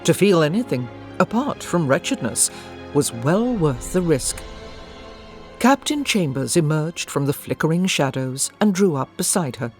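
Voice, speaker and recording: Low-voiced woman narrating over music. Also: expressive, a little slow. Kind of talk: scripted literary narration of an audio drama.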